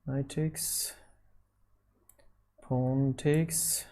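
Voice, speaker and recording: A man talks slowly through a chess game in English. He thinks out loud, often pausing mid-phrase. Soft mouse clicks can be heard behind him. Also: mid-range voice, flat delivery. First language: Nogai. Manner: halting non-native English, thinking out loud. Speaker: chess streamer. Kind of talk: thinking aloud while playing online chess.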